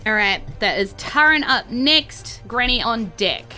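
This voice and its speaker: Game master, woman. Bright, conversational narration of a fantasy tabletop game, light music underneath. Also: fairly high pitch, lively intonation, measured pace. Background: faint background music.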